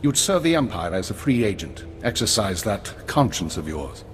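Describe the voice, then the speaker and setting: An actor playing a weary, regretful older intelligence minister in a video game, speaking in a measured, formal and authoritative way. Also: male voice, on the low side, sing-song, a little hiss or hum. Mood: solemn, resolute. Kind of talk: scripted dramatic game acting. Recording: orchestral music behind.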